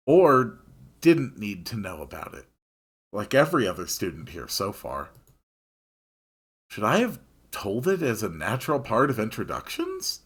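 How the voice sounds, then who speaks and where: A man's voice: mid-range voice, fairly bright tone, breathy edge. A man reading a visual novel aloud as first-person narrator, dramatic and emphatic. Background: music.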